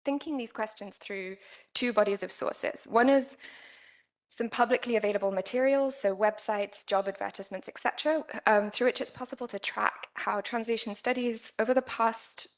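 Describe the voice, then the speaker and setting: A woman presenting an academic paper at a conference, with a quiet voice and frequent "um"s. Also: a little high, moderate pace. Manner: quiet-voiced, careful with many fillers. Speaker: conference speaker. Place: conference room.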